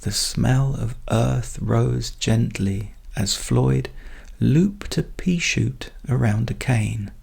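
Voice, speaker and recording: Slow, very gravelly male bedtime storyteller. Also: mid-range voice, a little mellow. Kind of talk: calm, gentle bedtime story narration.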